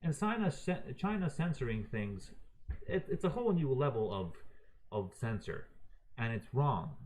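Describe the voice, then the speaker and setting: A man rants about his gripes, heated and exasperated and swearing freely. His pitch climbs and dips a lot as he pushes each point. He speaks off the cuff, straight to his viewers. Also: big pitch swings, measured pace, darkish tone, slight background noise. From raised in Croatia. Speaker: video ranter. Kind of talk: unscripted opinion rant.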